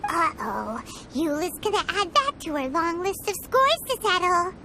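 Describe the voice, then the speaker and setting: A woman voicing a tiny, excitable, chatty fairy companion in a video game, with a squeaky childlike voice. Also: normal speed, a little hiss or hum, full character voice. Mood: surprised, teasing. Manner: refers to self in third person, exclamatory. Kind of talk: English game voice acting. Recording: loud game sound behind voice.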